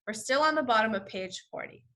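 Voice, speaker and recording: A woman teacher leads a read-along for young children. Her voice is fairly high, bright and very lively, and she explains words plainly as she goes. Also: a little fast. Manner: explanatory, checking understanding with "okay". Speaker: first grade teacher.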